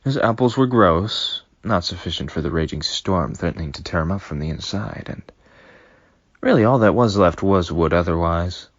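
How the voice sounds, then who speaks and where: A man's voice: low-pitched, lively intonation, moderate pace, no room sound, highs cut off. A man reading his own fanfic aloud as dramatic storytelling, sometimes dropping into whispers. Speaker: fanfic reader.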